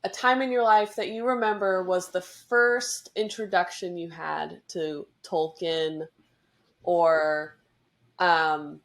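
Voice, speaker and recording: A woman's voice: on the low side, fairly even intonation, takes it slowly, a bit bright. A woman hosting a podcast, chatting in a bubbly, rambling way. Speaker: podcast host. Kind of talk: casual interview chat.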